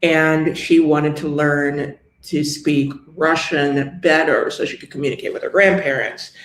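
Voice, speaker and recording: A middle-aged woman from New York, a real estate agent, speaking as a guest in an interview with a measured, understated manner. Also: sits low, fairly even intonation, reverberant, a little hiss or hum, poor audio. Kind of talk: interview conversation.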